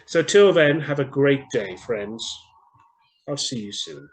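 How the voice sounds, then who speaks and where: A man's voice: mid-range voice, expressive, takes it slowly. A man reading a story expressively for children, in a theatrical and friendly storyteller's manner.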